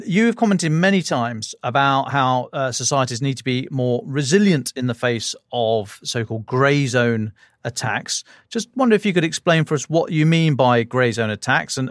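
Man, podcast host, mid-pitched, conversational interviewer of experts, very dry studio-style sound. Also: no room sound.

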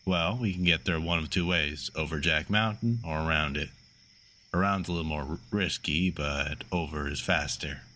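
Male caller, played by an actor: extremely deep, forceful tone, casual and slangy, audio-drama ghost-story teller. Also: moderate pace, a little hiss or hum. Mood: matter-of-fact. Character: casual, foul-mouthed male caller fond of spooky tales.

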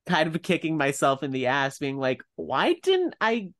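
A man's voice: fairly high pitch, huge pitch swings, normal speed, fairly bright tone. A man co-hosting a podcast, chatting casually and self-deprecatingly, often quoting his own thoughts aloud. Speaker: podcast co-host. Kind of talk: casual chat.

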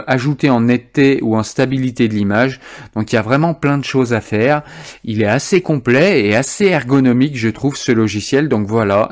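A man presenting an informal software tutorial, explaining things step by step as he demonstrates them. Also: mid-range voice.

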